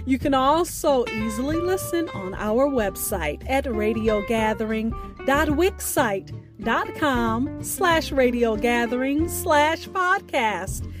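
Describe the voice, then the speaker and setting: A woman podcast host reading a scripted sign-off with deliberate, emphatic phrasing over instrumental music. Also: high voice. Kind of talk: scripted podcast outro narration. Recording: music bed behind the voice.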